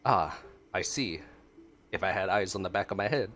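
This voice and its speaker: A man's voice: deep voice, moderate pace, a bit bright, soft background music. A man streaming casual gameplay reactions, talking in an offhand, hesitant way.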